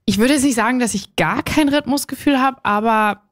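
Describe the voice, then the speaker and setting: A woman's voice: a little high, brisk. A woman in her twenties from Hamburg, casually telling a personal story as a radio show guest in a giggly, self-deprecating way. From Hamburg, Germany. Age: 20s.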